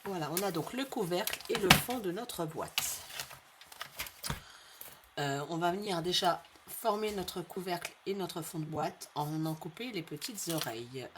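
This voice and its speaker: A woman with a slight head cold hosting a craft tutorial in a friendly, chatty way, repeating each measurement slowly for her viewers. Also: on the low side, lively intonation, noisy background. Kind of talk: casual step-by-step craft tutorial.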